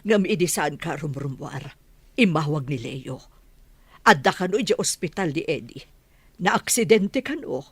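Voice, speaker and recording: A stern older matriarch in a radio drama. Her voice is husky and close, and it swings widely in pitch as she counsels and complains. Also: lively intonation, moderate pace, no echo, heavily acted. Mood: grave. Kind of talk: Filipino radio drama acting.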